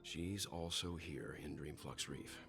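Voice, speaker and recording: A composed mentor figure in an anime video game. He has a very deep, gravelly voice and speaks thoughtfully over prominent music. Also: slow pace, heavily acted. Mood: calm, thoughtful. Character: calm, thoughtful older gentleman companion and mentor.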